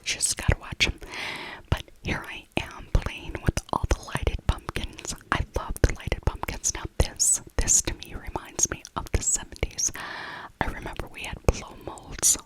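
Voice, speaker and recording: A middle-aged woman whispering a casual, rambling ASMR shopping voiceover, softly repeating her phrases. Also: a little slow, rough recording. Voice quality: soft unvoiced whisper. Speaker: ASMR creator.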